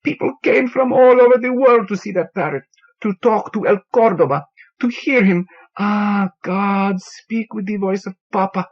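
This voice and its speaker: A man voicing a grieving, excitable Cuban male bartender who exclaims in a high, breaking voice. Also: normal speed. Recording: dull lo-fi audio.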